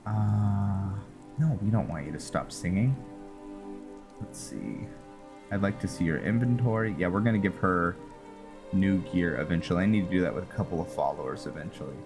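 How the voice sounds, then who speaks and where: Low-voiced unhurried male gamer narrating play, game music and rain behind him. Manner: relaxed, musing aloud with frequent ums. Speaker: gamer playing a roleplay let's play. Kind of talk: casual gameplay commentary. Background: game music and rain sounds.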